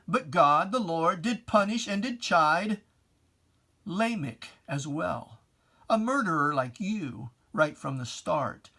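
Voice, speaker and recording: A man's voice: fairly high pitch, sharp tone. A man giving a dramatic reading of religious verse in an emphatic, declamatory, theatrical manner. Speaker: poetry reader.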